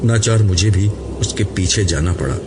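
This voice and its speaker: Narrator: man with a fairly deep voice for scripted fiction, recorded with noticeable noise. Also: moderate pace. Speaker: audiobook narrator. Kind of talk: scripted narration of an Urdu novel. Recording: clearly noisy recording.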